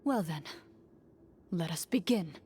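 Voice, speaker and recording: A woman voicing a composed young swordswoman who narrates as a game master in a video game, formal and measured. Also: fairly low pitch, animated, a little mellow, slight background noise. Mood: solemn.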